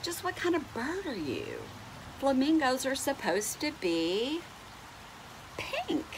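A woman's voice: sits high, wildly swooping pitch, slow pace, a little hiss or hum, pitched above the performer's own voice, heavily acted, rough recording. A woman reading a storybook and voicing a fussy, scolding flamingo who admonishes a friend with stressed final words. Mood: admonishing.

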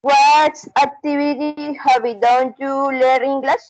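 A high-pitched woman in her twenties with a Latin American Spanish accent. She speaks slowly and haltingly, as an English learner working through a practice dialogue. The video-call sound is dry, without room echo. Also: steady tone, a little mellow, soft background music. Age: 20s. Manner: halting, careful delivery.

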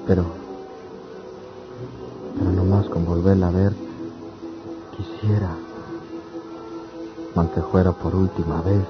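A man's voice: low-pitched, level tone, slow pace, pauses often, music in the background. An actor playing a despondent, lovesick young farm man in an old radio drama. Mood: longing. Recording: dull old radio recording.

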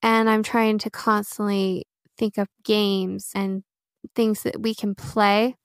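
A woman's voice: medium pitch, level tone, takes it slowly, clear, resonant tone, no room sound, studio quality. A woman with an American accent chatting casually as an interview guest, telling stories and quoting herself as she goes. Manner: chatty, self-quoting storytelling with frequent "like" and "you know". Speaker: interview guest, Chinese teacher.

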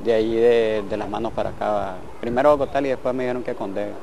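Detained man, low gravelly voice, quick hesitant answers, distant amid crowd. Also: steady tone, noisy background.

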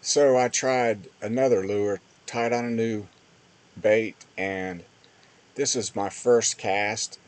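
A man's voice: on the low side, fairly even intonation, takes it slowly. A man giving casual, plain-spoken voice-over commentary on fishing footage as host of a fishing channel.

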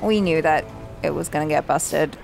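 Young woman chatting over loud game music. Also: low-pitched, normal speed, creaky. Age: young adult. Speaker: reaction show host. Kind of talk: casual reaction chat. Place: small indoor room, seen at desk. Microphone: headset. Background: video game music and sound effects.

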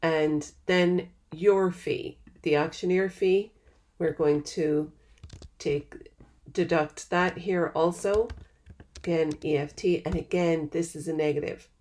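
A woman giving a step-by-step software tutorial over a screen recording, in a plain, patient manner. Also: low-pitched, fairly even intonation, takes it slowly. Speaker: software trainer.

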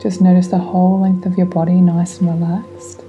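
Woman, meditation guide, lowish voice, gentle and soothing, unhurried, relaxing music underneath. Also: little pitch movement, dull audio.

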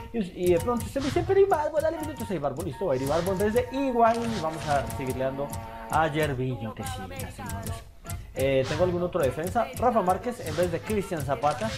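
A young man chats into a desk mic in a small room, his pitch swinging widely as he narrates his football game and answers viewers. He keeps calling his audience "señores." Quiet game music plays behind him. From Colombia. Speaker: gaming YouTuber. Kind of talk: casual gameplay commentary.

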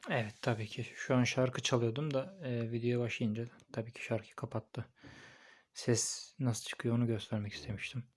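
A man's voice: medium pitch, steady tone, normal speed. A man giving a casual, hands-on product review, thinking aloud informally as he records on a phone. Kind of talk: casual hands-on unboxing and installation commentary.

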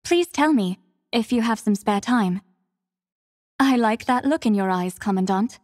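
An actress playing a devoted, composed young female warrior in a video game, speaking with formal, measured, soft courtesy. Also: a little high, lively intonation, dry recording, studio quality, long reverb tail. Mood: gentle, attentive. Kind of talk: scripted mobile game character lines.

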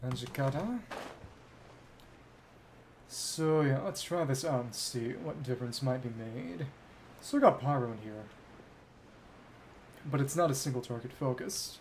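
A man's voice: mid-range voice, unhurried, pauses often, a little hiss or hum. A young man streaming a video game, rambling casually and thinking aloud to himself as he plays. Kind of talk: casual gameplay commentary. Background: game music and effects.

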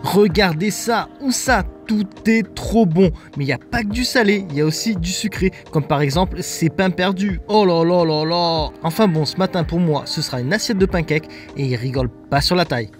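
A man's voice: sits high, moderate pace, hardly any pauses, faint music behind. A man in his thirties vlogging his travels, chatting playfully to viewers from the street and cafés with the camera at arm's length. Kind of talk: travel vlog narration.

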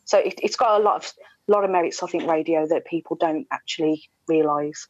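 A woman's voice: deep voice, fairly quick, darkish tone, dry recording. A middle-aged British woman, a radio presenter, answering as an interview guest in a conversational style over a video call. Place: indoor room, video call. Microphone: wired earphones with inline mic. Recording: dull, slightly lo-fi video call audio.

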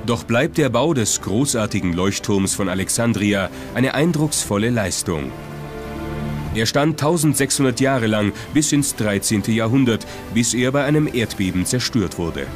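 Low-voiced man narrating over music. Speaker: documentary narrator. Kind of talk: scripted documentary narration. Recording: prominent music bed under voice.

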